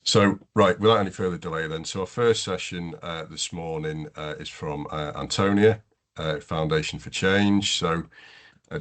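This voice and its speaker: A man's voice: rumbling low, fairly bright tone. A man hosting an online meeting, introducing speakers in a rambling way with many fillers and restarts, over a video call. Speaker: webinar host.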